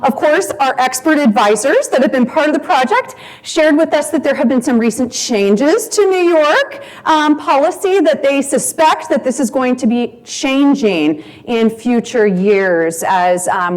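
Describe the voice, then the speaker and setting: Slightly high-voiced woman presenting data slides, steady and patient, filler-heavy. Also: rough recording. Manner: measured, frequent ums, walks through slides. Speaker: conference presenter. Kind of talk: presenting survey results. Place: conference session room.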